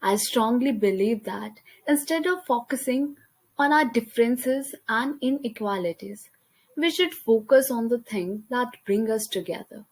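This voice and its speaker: A woman's voice: on the high side, animated, a little slow, husky. A young woman from India with an Indian accent, a native Hindi speaker, reading a class presentation over slides with careful pacing and small slips. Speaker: student presenter. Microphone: computer mic, voice-over on slides.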